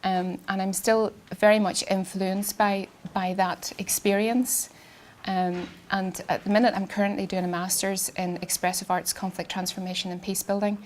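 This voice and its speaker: A woman, an artist giving a public talk in a quiet, soft voice, hesitant with many ums and restarts, and she has a cold. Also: mid-range voice, flat delivery, moderate pace. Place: garden event space with sound system.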